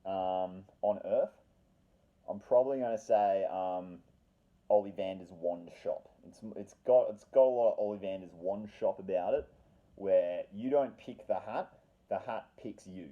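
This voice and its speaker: A man's voice: sits low, measured pace, narrow, tinny audio. A man telling a casual, rambling personal anecdote with slangy swearing and dramatic repetition. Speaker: storyteller.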